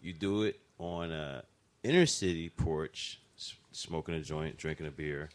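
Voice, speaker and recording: A man's voice: extremely low pitch, measured pace. A man co-hosting a radio talk show, talking passionately and emphatically in casual chat. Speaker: radio co-host.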